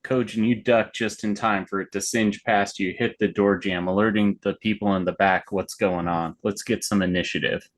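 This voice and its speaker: A man's voice: on the low side, fairly even intonation, normal speed, few pauses. A man acting as game master, narrating and managing turns in an online tabletop game.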